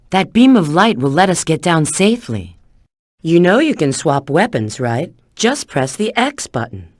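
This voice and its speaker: A cool, flirty female bat treasure hunter from a video game. She gives knowing instructions in a very lively, swooping delivery. The sound is close and dry. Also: deep voice, dry recording, heavily acted. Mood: urgent, alert. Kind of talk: video game character voice acting.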